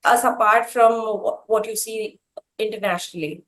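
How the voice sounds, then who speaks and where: A woman with an Indian accent hosting an interview, putting her questions politely in a halting, wordy way. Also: mid-range voice, fairly even intonation.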